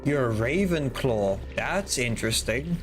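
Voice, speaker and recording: A man's voice: medium pitch, expressive, measured pace, music in the background. Chatty male streamer rambling to chat. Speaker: game streamer. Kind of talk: casual live-stream chat while playing.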